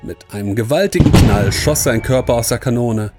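A man's voice: medium pitch, normal speed, over loud music. A man reading a scripted first-person horror story as its narrator.

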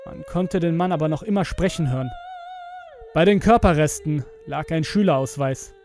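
A man's voice: a little high, moderate pace, a little mellow. A man narrating a scripted horror story dramatically, as the narrator of an audio tale. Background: music with strings.

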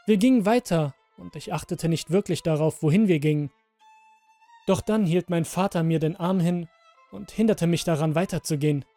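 Guy narrating scary story podcast, slightly high voice, super dry recording, strings behind him. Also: moderate pace, mellow, dry recording, soft background music. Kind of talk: scripted first-person horror story narration.

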